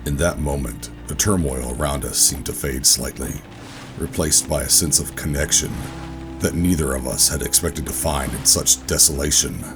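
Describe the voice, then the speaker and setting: An extremely deep, brooding man acting as a world-weary government operative in a sci-fi horror audio drama. He is guarded and deliberate in his storytelling, with music playing clearly beneath him. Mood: somber, reflective. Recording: music under the voice.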